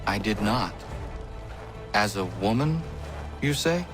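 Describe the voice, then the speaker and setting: An actor playing an earnest, stern young assassin in a video game, speaking in a solemn, formal and measured way. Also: male voice, on the low side, expressive, music in the background. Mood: polite, reserved. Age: young adult. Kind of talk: video game cutscene acting.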